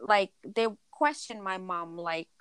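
Woman in her thirties, from Portugal, podcast guest, smooth clear voice, dry close sound, earnest and searching for words. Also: mid-range voice, slow, a bit bright, no echo. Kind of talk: personal storytelling in conversation.

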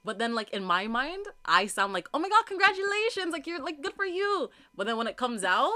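Young woman with a dry, flat voice. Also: sits high, fairly quick, heavy background noise. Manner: flat, dry delivery with lots of "like" and laughter. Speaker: podcast co-host. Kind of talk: casual chat.

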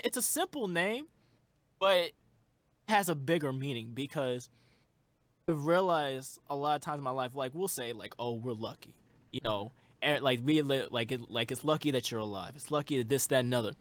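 A young man talks as an interview guest about his music, rambling and earnest, with a steady mid-range voice. He fills his sentences with fillers and restarts. The sound is dry and close, with no room around it. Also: mid-range voice, moderate pace, dry recording. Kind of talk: casual interview chat.

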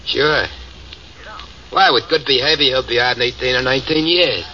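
A swaggering, scheming crook, smug and brash, with a sharp, bright, hard-edged tone. It comes through a dull, muffled old broadcast with light hiss. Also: male voice, mid-range voice, moderate pace. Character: boastful, scheming small-time gangster man. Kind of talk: 1940s radio acting.

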